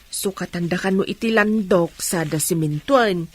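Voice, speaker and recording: Woman playing a husky-voiced Filipino village mother. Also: lowish voice, normal speed, a little mellow. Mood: sympathetic. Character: caring middle-aged village mother, sympathetic and practical. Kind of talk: Ilocano radio drama acting.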